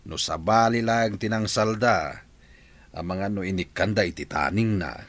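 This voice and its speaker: A man's voice: lowish voice, normal speed, a bit bright, no echo, close to an everyday voice. A man playing a concerned village man in a radio drama about family troubles. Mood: inquisitive. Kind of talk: Ilocano radio drama acting.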